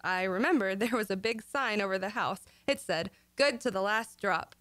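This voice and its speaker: A woman's voice: mid-range voice, moderate pace, fairly bright tone, no room sound, lightly acted. A woman acting a naive, scatterbrained housewife in an old-time radio comedy, chatty and earnestly muddled. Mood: innocent, chatty. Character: naive, scatterbrained, chirpy young-ish housewife who garbles words.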